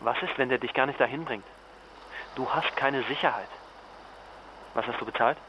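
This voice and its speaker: An actor playing a weary, worried journalist husband in a naturalistic radio drama, hesitant and reluctant. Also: male voice, mid-range voice, moderate pace, close to an everyday voice. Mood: alarmed. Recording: dull lo-fi link, clearly noisy background.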